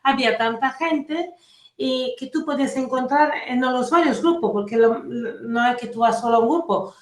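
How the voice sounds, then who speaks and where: High-voiced Italian woman, roomy sound. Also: normal speed. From Italy, Naples. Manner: animated, personal storytelling with restarts. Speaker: guest activist. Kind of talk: casual conversational interview. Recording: roomy echoey room.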